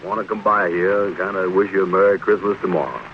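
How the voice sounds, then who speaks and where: A man's voice: low voice, fairly even intonation, fast talker. An actor playing a folksy, friendly man chatting casually with his pal in an old radio comedy. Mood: warm, casual. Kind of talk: 1940s-50s radio comedy acting. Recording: muffled old radio recording, faint noise.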